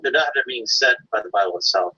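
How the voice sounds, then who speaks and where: A man's voice: fairly low pitch, animated, a little fast, bright, forceful tone, slightly rough. A man debating in an online hangout, lecturing with many fillers. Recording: dull lo-fi stream audio.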